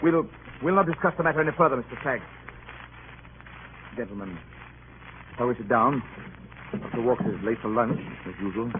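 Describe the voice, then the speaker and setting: Mid-pitched voice, man as a reserved sea captain, measured, formal, old-time radio drama, phone-like sound, clear background noise. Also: lightly acted. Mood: reserved, firm. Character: cautious, reserved ship's captain telling his tale. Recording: tinny, noisy old radio recording.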